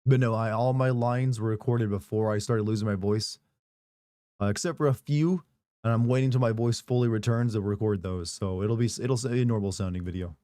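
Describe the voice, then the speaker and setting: A hoarse man, his voice still coming back, rambles casually through a live stream, reading viewer messages and tossing off jokes. His tone is dark and mellow. The sound is dry and close, with no room echo. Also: fairly low pitch, fairly quick. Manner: rambling, casual, joking asides. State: hoarse, recovering voice.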